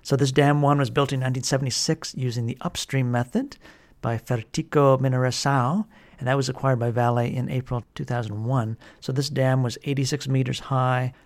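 A man with a mid-range voice hosts a trade news podcast. He talks through the news in a measured, informative way, pausing now and then on fillers. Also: medium pitch. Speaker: podcast host and editor.

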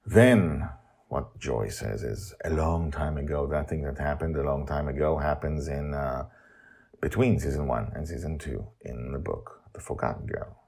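A man hosting a story podcast with a gravelly, husky voice and a dramatic, emphatic delivery full of pauses. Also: rumbling low, mellow.

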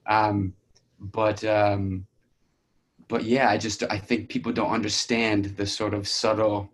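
A man speaking as a playwright in a casual feedback discussion, in a halting, searching way. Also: on the low side, flat delivery. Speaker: playwright discussing his play.

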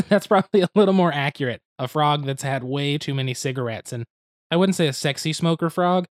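A slightly hoarse man from Oklahoma, co-hosting a podcast in easygoing, rambling casual chat. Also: a little high, brisk, dry recording, studio quality. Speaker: podcast co-host.

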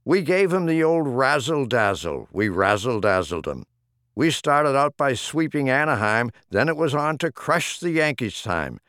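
A man's voice: mid-range voice, big pitch swings, a little slow, fairly bright tone, clean tone, no echo, studio quality. A man reading humorous rhyming poems as an audiobook narrator, with a theatrical, rhythmic delivery. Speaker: audiobook reader.

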